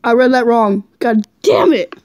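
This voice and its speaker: A woman's voice: on the high side, unhurried, a little mellow. A woman reading fan fiction aloud casually as an amateur, giggly and stumbling over the text. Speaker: fan fiction reader, channel host.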